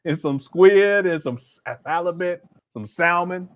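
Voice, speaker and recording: A man's voice: high-pitched, measured pace. A man commenting live on a video clip, laughing often and teasing with sarcasm. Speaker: commentator reacting to a livestream.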